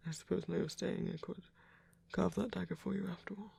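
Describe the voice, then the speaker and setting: A performer voicing a gentle, devoted male vampire priest in an intimate ASMR roleplay, speaking in a breathy, hesitant murmur with formal wording. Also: medium pitch, steady tone, normal speed, exaggerated voice. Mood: warm, reassuring. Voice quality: breathy, whispery. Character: soft-spoken, tender male vampire priest, earnest and devoted. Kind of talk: intimate ASMR roleplay, scripted romantic audio drama. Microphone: close, dry microphone.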